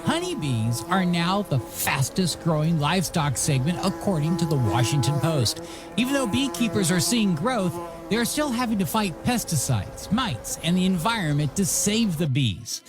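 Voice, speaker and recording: A man hosts a music radio show in a high, very animated voice. He announces in a showy style, drawing out the ends of phrases and slipping in wry, playful asides. A music bed plays clearly behind his close studio microphone. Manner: showy announcer delivery with drawn-out phrase endings. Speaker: radio DJ and host. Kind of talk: music radio DJ patter, announcing songs and reading light news stories. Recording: radio broadcast with music underneath.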